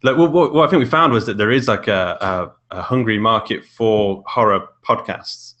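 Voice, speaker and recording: Low-pitched British man chatting casually. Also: normal speed, fairly bright tone, highs cut off. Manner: hesitant, repeats words. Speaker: podcast guest, indie horror author. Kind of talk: casual chat.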